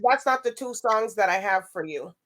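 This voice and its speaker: A woman's voice: medium pitch, lively intonation, moderate pace, fairly bright tone. A woman hosting a casual interview, putting in short responses and questions. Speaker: interview host.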